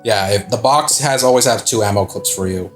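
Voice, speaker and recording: A man's voice: lowish voice, a little fast. A young man casually commenting on gameplay, laid-back and joking, with some swearing. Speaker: gameplay co-host. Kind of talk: casual gaming chat. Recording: game music underneath.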